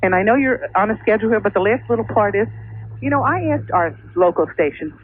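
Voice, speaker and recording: Animated older American woman on phone. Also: medium pitch, fast, slightly rough. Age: older, around 60. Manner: chatty, outspoken, playful. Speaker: radio show caller. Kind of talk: casual phone chat. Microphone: telephone. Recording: thin phone line, faint noise.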